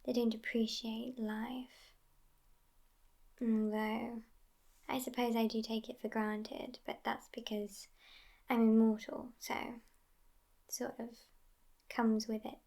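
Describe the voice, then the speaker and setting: A woman plays a sassy immortal vampire girlfriend in an intimate ASMR roleplay. Her breathy whisper is slow and creaky at the edges. The delivery stays level, world-weary and teasing. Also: a little high, exaggerated voice. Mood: wry, disdainful. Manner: unhurried, soft, intimate whispering.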